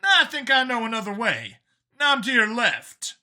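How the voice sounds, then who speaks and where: A man's voice: very high voice, wildly swooping pitch, a little slow, bright, forceful tone, pitched above the performer's own voice, full character voice. A man voicing an eager, knowing male human ally who explains earnestly in an audiobook. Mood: eager, confiding.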